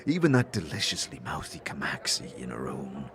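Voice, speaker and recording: A man's voice: extremely low pitch, wildly swooping pitch, normal speed, a bit bright, full character voice. An actor playing a kindly, merry old man in an audio drama, speaking in a genteel, courteous way. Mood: cheerful. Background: music.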